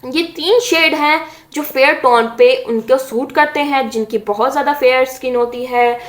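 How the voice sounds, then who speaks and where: High-pitched chatty beauty vlogger woman. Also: moderate pace. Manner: chatty, explanatory, frequent hesitations. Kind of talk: casual makeup tutorial. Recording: echoey room.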